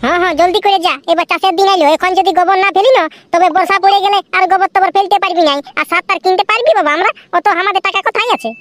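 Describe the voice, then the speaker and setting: Man as a high-voiced bossy village mother. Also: very fast, few pauses, fairly bright tone. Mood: urging, nagging. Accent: Purulia Bengali. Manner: instructing, nagging. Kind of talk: comic cartoon dialogue.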